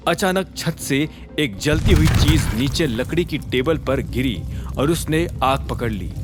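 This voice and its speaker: A man giving scripted, suspenseful dramatic narration of a story in an expressive manner. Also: on the high side. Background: background music.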